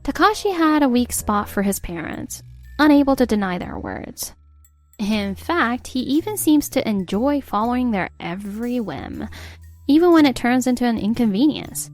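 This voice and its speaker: An exasperated young wife. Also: female voice, a little high, big pitch swings, moderate pace, darkish tone. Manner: exasperated, sarcastic. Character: fed-up young wife narrating her story. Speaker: narrator and wife. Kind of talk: scripted narration. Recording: faint music behind.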